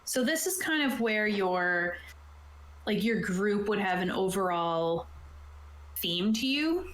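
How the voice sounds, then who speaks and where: A woman talks through tabletop game rules as a game master, in a casual and slightly halting manner. Her voice sits at an average pitch. The recording is roomy, with clear echo around the words. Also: lively intonation, fairly bright tone. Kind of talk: casual explanatory talk, reading from a rulebook. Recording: roomy, echoing sound.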